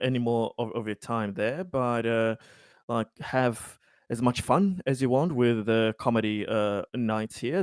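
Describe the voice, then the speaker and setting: A courteous colony councilman, played by a man in a tabletop roleplay, eager to please. He talks haltingly and politely in a mid-pitched voice, rambling and repeating words, recorded close and dry. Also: darkish tone, no room sound, lightly acted. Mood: friendly, encouraging. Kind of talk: improvised in-character tabletop dialogue.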